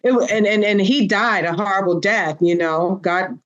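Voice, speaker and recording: A middle-aged woman on a podcast panel, talking candidly and conversationally with a halting flow. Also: mid-range voice, dull audio. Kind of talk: casual panel discussion.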